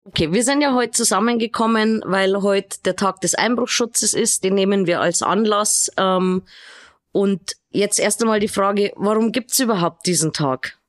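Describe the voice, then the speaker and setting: A woman hosts a podcast interview. Her voice sits at an average female pitch. She introduces and questions her guests in a conversational style. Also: normal speed. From Regensburg, Bavaria. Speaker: podcast host.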